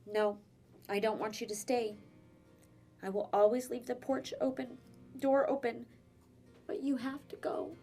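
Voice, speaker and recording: Woman acting an emotional, pleading young boy, raised pitch. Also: little pitch movement, unhurried, pauses often, dark tone, soft background music. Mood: heartbroken. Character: anguished, loving boy calling to his pet fox.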